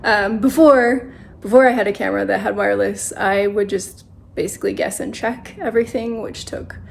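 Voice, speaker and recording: A woman's voice: mid-range voice, steady tone, moderate pace, creaky. A woman chatting informally as she explains her photography setup step by step, in a casual tutorial vlog style. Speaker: photographer making self-portraits. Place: dining room at home.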